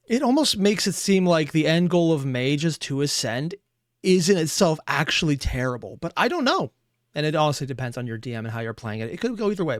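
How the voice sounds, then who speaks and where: A man in casual reaction commentary, voice worn a little hoarse, talking in a slightly raised register. He rambles through asides and swears freely. The sound is close and dry, with no room around it. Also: fairly high pitch, no room sound. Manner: rambling, profane, animated asides. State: losing his voice. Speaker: reaction streamer.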